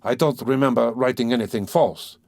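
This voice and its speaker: A man's voice: mid-range voice, fairly quick, gravelly, darkish tone, dry recording, clean studio sound, voice pushed up, natural voice. A man reading aloud, playing a pompous, touchy suitor who speaks stiffly and formally. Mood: aggrieved. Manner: huffy, sententious, stiffly formal. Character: pompous, touchy middle-aged male suitor.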